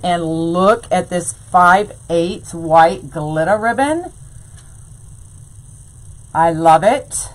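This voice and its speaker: Lady doing a live wreath tutorial, slow easy talk, kinda low voice, says y'all a lot. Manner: chatty, says "y'all", answers live chat. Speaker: wreath-making tutorial host. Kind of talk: live craft demonstration. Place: craft room full of ribbon shelves.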